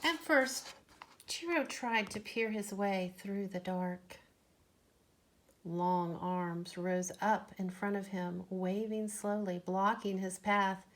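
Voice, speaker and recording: A woman storyteller reads a children's picture book aloud. Her pace is very slow and deliberate, with a medium-pitched voice and expressive, measured phrasing.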